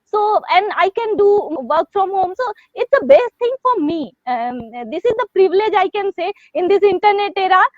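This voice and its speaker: High-voiced woman, hesitant. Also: lively intonation, normal speed, hardly any pauses, no echo, highs cut off. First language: Hindi. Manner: hesitant, many fillers and restarts. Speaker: English learner, homemaker. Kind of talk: conversation practice session. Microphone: video call.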